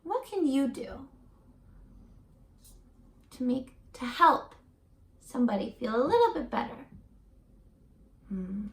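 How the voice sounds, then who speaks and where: A woman doing an animated read-aloud for young children as a teacher, gentle and encouraging, pausing to put questions directly to the listener. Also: high-pitched, reverberant. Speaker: teacher reading a picture book aloud to children.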